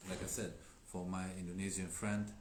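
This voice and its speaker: A man who is not a native English speaker, chatting casually as a Daoist teacher on a livestream, halting with many fillers. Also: low voice, level tone. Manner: halting, filler-heavy, laughs often. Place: indoor room with tea table. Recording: echoey room, faint noise.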